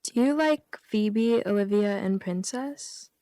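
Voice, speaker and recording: A woman's voice: mid-range voice, takes it slowly, dark tone, no room sound. A woman guest on a radio comedy show, reading jokes aloud and bantering casually. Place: radio studio.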